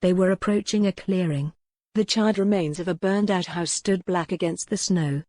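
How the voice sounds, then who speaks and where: Low-toned woman narrating historical romance. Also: moderate pace, darkish tone, no room sound, clean studio sound. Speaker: audiobook narrator.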